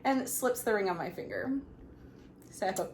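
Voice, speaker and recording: A woman in her thirties telling a personal story in a casual, chatty, animated way. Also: mid-range voice, a little slow. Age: 30s. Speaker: vlog co-host. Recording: clearly noisy room ambience.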